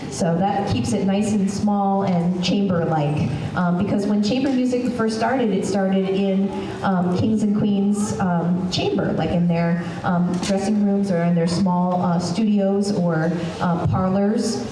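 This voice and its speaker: Hoarse woman teacher, thirties, handheld mic, booming school hall, heard from far off. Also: fairly even intonation, a little slow, hardly any pauses, noisy background. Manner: friendly, with frequent ums. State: hoarse from a head cold. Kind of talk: welcoming speech at a school concert. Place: school hall, large room. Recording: echoey hall, taped from far off.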